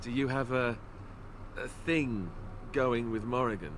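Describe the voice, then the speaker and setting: A man's voice: mid-range voice, unhurried, fairly bright tone, close to an everyday voice. A man playing a sarcastic, dryly needling adventuring companion in a video game. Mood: sarcastic, nosy. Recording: game audio with clear background noise.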